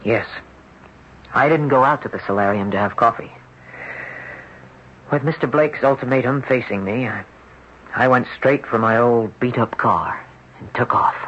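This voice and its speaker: A man playing a troubled, weary young surfer who recounts his ordeal dramatically and haltingly in an old radio drama. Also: mid-range voice, fairly bright tone, slightly rough. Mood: weary, brooding. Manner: dramatic, halting first-person storytelling. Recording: dull, very noisy vintage radio recording.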